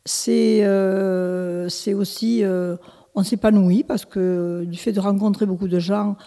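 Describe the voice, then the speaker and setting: A woman's voice: medium pitch, steady tone, slow pace, dark tone, big-room sound. A woman in her seventies, born in Italy, answering interview questions as a village mayor, hesitant with many restarts and fillers. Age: 70s. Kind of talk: local interview.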